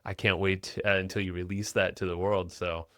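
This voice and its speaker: A man's voice: low voice, level tone, normal speed, fairly bright tone, dry recording, clean studio sound. A man hosting a podcast interview, upbeat and chatty, often repeating words as he starts sentences. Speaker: podcast host. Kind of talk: casual podcast interview with ad read.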